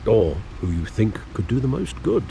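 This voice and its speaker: An actor playing a loyal older British butler in a video game, speaking formally and eloquently as a grave, watchful adviser. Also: male voice, lowish voice, sing-song, normal speed, creaky, dark tone, slight background noise. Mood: grave, advising. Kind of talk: dramatic video game acting.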